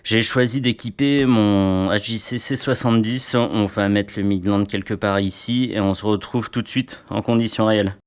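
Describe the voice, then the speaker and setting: A man's voice: lowish voice, steady tone, moderate pace, hardly any pauses. Chatty man reviewing motorcycle gear. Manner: chatty, playful asides and onomatopoeia. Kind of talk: casual product review.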